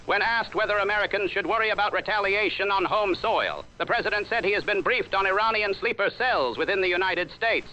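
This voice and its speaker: A man reporting as a Washington correspondent in a formal, measured 1930s radio newscast style. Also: high voice, sharp tone. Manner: formal, measured, old-time announcer cadence. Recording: lo-fi vintage radio sound with faint hiss.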